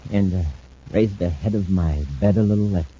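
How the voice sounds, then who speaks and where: A man playing a weak, evasive space castaway murmuring haltingly from a hospital bed in an old-fashioned radio drama. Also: low voice, warm tone, breathy edge. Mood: weak, wheedling. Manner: halting, slow, trailing off. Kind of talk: 1950s radio drama acting. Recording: muffled, hissy old radio recording.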